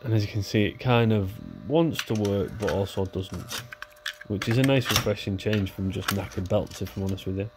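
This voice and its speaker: A man's voice: lowish voice, normal speed, noisy background. A man with a stuffy, nasal voice from a cold, chatting casually as he walks through a repair. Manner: chatty, relaxed, talks while working with hands. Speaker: repair video host.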